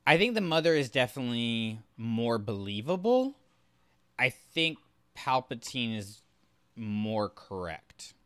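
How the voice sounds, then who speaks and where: Male co-host, crisp forward tone, close dry sound, casual analysis, halting and thoughtful. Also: mid-range voice, very animated, a bit bright, no room sound. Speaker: podcast co-host.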